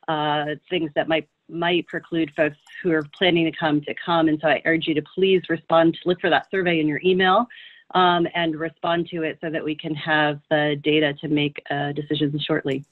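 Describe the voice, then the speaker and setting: A woman on a thin, phone-like line answers a question about an upcoming conference. She speaks in a level, even tone and stumbles often, filling pauses with ums and restarts. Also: low voice. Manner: hesitant, many fillers and restarts. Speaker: association chief executive.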